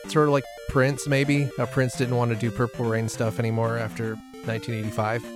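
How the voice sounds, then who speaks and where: A man's voice: medium pitch, flat delivery, a little fast, music in the background. A man speaking as a podcast guest in a casual, conversational style. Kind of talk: casual chat.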